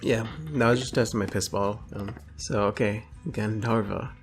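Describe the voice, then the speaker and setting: Male co-host, lower register, laid-back joking chat, light guitar backing. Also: steady tone, moderate pace. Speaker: co-host reading entries aloud. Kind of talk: casual chat.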